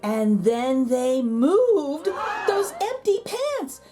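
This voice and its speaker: Lady doing a nervous scaredy storybook narrator, rhyming, high voice, slow n dramatic, really up and down. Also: sing-song, music in the background, heavily acted. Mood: frightened. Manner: suspenseful, dramatic, drawn-out emphasis. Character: jittery, easily frightened storybook narrator, protesting bravery. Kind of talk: rhyming picture-book storytelling.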